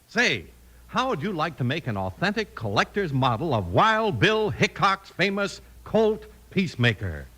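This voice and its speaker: A man's voice: a little high, huge pitch swings, normal speed, sharp tone. A man announcing in the emphatic, scripted style of a 1950s radio show. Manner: emphatic salesman-like delivery. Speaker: announcer and narrator.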